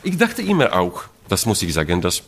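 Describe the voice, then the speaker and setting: A middle-aged man from Poland speaks German with a Polish accent, stopping to hunt for words and restarting his sentences. His pitch swings widely up and down as he talks, and he often breaks into laughter. He sounds animated rather than slow. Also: husky. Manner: halting, searching for German words, frequent fillers and restarts. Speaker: opera stage director. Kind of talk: interview about an opera production.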